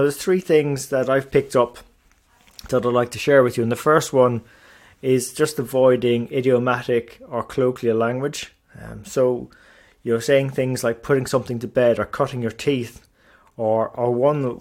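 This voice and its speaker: A man's voice: mid-range voice, darkish tone. A middle-aged man from Ireland and England, hosting a solo podcast in a chatty, self-deprecating way with many fillers and restarts. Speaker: podcast host.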